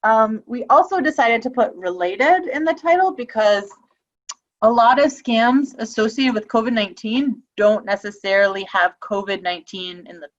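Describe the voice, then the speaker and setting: A woman's voice: mid-range voice, lo-fi sound. A woman giving a webinar presentation on a video call, talking casually with frequent ums and restarts. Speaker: webinar presenter on elder abuse prevention.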